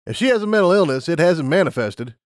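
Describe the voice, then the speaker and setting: Guy playing a keen lab scientist pony, pitched up a bit, brisk and emphatic, lively, storybook. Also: no room sound, clean studio sound. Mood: assured. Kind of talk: acted audiobook character.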